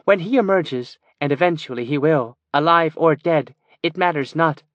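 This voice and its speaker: A man's voice: a little high, normal speed, fairly bright tone, no room sound, lo-fi sound, pitched above the performer's own voice, close to an everyday voice. Kiva, a man voicing a stern elf sorceress. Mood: urgent. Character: proud, stern female elf wizard.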